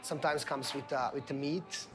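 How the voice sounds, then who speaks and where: A man from Belgrade, chatting casually as a guide, friendly with many pauses. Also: medium pitch, fairly even intonation, over music. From Serbia, Belgrade. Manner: friendly, hesitant with fillers. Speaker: guest, local guide. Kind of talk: casual chat.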